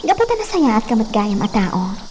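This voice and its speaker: A shy young village maiden in a radio drama, acted by a woman. She is polite and very high-pitched, heard against clear background noise. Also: sing-song, a little fast, a little mellow. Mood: hurt, pleading. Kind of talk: Ilocano radio drama acting. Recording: clearly noisy ambient background.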